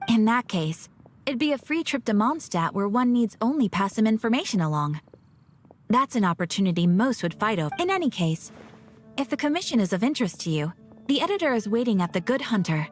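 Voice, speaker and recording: A fairly high, animated woman's voice a guild receptionist. She is polite, helpful and businesslike, a video game clerk explaining things over faint music. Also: a little fast. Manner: helpful, informative.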